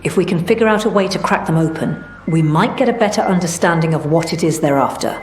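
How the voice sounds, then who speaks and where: An actress playing a composed research team leader in a video game, giving tasks in a polite, measured way. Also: female voice, on the low side, lightly acted. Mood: businesslike. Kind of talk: scripted game quest dialogue. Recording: roomy echo, faint music.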